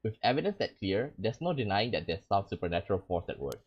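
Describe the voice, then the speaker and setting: A man's voice: on the low side, very animated, fast, slightly rough, lo-fi sound. A man voicing a curious, skeptical friend of a cursed heroine, reading the game's lines plainly and conversationally. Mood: convinced.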